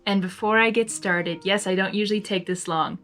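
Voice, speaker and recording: A woman hosting and narrating a live story reading in an expressive, giggly manner, reacting aloud as she goes. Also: medium pitch, a little fast, soft background music. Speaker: fan fiction reader and host.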